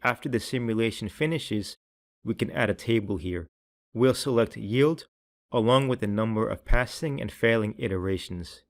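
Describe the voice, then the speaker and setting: A man reading scripted tutorial narration in a measured, instructional way, with each phrase clearly enunciated. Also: fairly low pitch.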